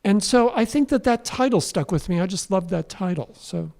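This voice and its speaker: A man's voice: high-pitched, animated, normal speed, vocal fry, darkish tone. A man speaking as an interview guest, casual, hesitant and understated.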